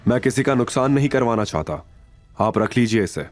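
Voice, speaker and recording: A man voicing a calm, magnanimous young chairman in an audio drama, composed and gently authoritative. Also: medium pitch, a little fast, soft background music, lightly acted. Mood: polite, firm. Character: calm, magnanimous young male chairman hiding his wealth. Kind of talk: Hindi audio drama dialogue.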